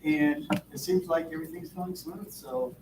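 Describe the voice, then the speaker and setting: A man's voice: high voice, sing-song, normal speed, vocal fry, far from the mic. A man from Vermont on a school board, giving rambling, folksy remarks with many fillers. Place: school board meeting room. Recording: echoey room, mic from afar, background noise.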